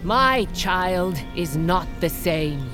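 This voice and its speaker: An imperious fantasy queen in an audio drama. She speaks slowly in stately, solemn declarations, proud and merciless, with music underneath. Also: on the low side, lively intonation. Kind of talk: audio fiction drama acting.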